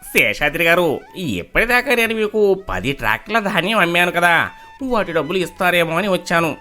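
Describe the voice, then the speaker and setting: A man's voice: high-pitched, animated, fairly quick, bright, forceful tone, soft background music. A man voicing village traders and farmers in a dramatised moral story. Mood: aggrieved. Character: male villagers in a moral tale: traders, mill owner, wronged farmer.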